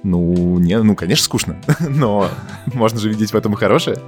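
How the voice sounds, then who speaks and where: A man's voice: fairly low pitch, lively intonation, brisk, faint music behind. A man from Russia hosting a casual podcast, telling long anecdotes with plenty of fillers and asides. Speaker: podcast host. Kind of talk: casual conversational podcast chat.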